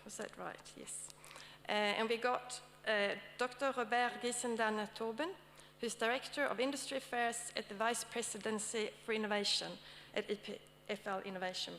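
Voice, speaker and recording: A woman's voice: on the high side, a bit bright. Swedish-accented woman in a reverberant hall. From Sweden. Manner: checks in with the audience often, with many fillers. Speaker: session moderator and academic speaker. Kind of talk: conference session introduction. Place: conference hall. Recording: long hall reverb, faint hum.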